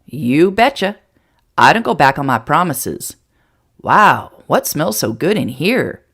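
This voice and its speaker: A folksy, reassuring grandfather and town mayor, kind and easygoing, as a woman puts him on. He speaks in a lowish, gravelly voice with lively swings. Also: slow, full character voice.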